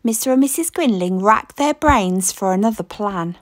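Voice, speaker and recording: A woman reading a children's story aloud with expressive, deliberate, dramatic narration. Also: mid-range voice, no room sound, studio quality. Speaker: storybook reader.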